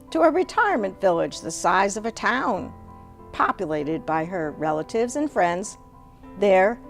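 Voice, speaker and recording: Slow, theatrical woman reading a story. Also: mid-range voice. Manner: expressive, theatrical, deliberate. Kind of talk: dramatic reading of personal story. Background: music.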